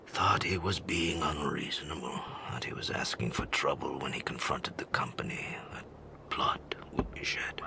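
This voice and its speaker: Very deep, gravelly whispering old man. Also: full character voice. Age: older. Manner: slow, somber storytelling. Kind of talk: video game cutscene monologue.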